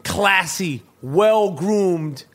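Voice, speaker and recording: A man's voice: high voice, animated, drawn-out words, a bit bright. A man hosting his podcast in casual chat, swearing often and stumbling over restarts. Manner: profane, stammering restarts. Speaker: podcast host.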